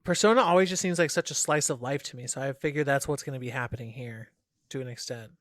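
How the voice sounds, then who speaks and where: A man's voice: mid-range voice, fast, fairly bright tone, no echo. A man co-hosting a podcast, bouncing ideas around in a casual chat. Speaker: podcast co-host.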